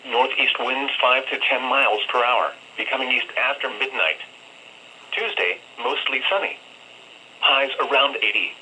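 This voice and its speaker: A man's voice: mid-range voice, moderate pace, pushed and bright. A man reading a scripted weather forecast as an announcer, heard through a radio speaker. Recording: noisy, harsh small radio speaker.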